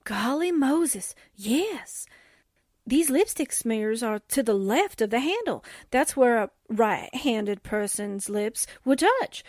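A woman performs a naive, perky newspaperwoman sidekick from a vintage radio play. She speaks slowly in a fairly high, swooping voice. Her exclamations are folksy and wide-eyed. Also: big pitch swings, darkish tone, no room sound, clean studio sound, full character voice. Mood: puzzled, wondering. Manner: exclaiming, guileless. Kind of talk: 1940s radio drama acting.

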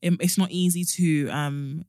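A woman's voice: on the low side, steady tone, unhurried, mellow, no room sound, clean studio sound. A young woman, co-hosting a podcast and giving frank, joking advice. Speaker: podcast co-host. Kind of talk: casual podcast chat and advice.

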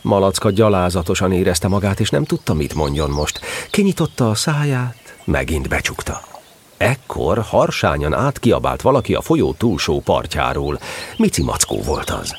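A man's voice: low-pitched, big pitch swings, slight background noise. A man narrating a scripted children's story as part of an audio drama.

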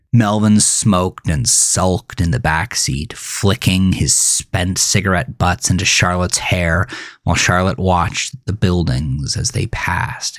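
A man's voice: sits low, vocal fry. A man reading scripted horror fiction as the narrator of an audio drama.

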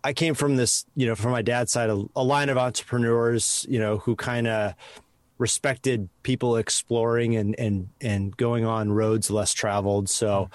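A man's voice: mid-range voice, steady tone, normal speed, dry recording. A man with a Midwestern American accent, reflecting on his upbringing as a podcast guest in an easygoing way. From American Midwest (Chicago, Wisconsin). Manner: easygoing, reflective, many "you know"s. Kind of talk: casual interview chat.

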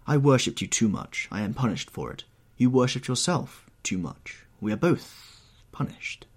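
A man's voice: mid-range voice, very animated, normal speed, husky, pitched above the performer's own voice. A man voicing a horrified, anguished painter who pleads and moralises in an agitated, exclamatory way, in an audiobook story. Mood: pleading, fervent.

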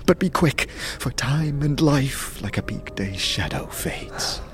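A man playing a furtive, pleading cultist in an audio drama, urgent and repetitive, speaking in riddles and hushed whispers. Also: medium pitch, sing-song, takes it slowly, faint music behind. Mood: anxious, cryptic. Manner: urgent, repetitive, singsong rhyming.

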